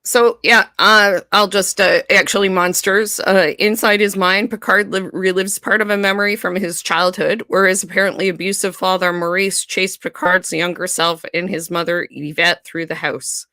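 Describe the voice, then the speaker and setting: A woman co-hosting a podcast, chatting casually about a TV series, animated and laughing often. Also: medium pitch, normal speed, few pauses, no echo. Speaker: podcast co-host. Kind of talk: casual fan discussion.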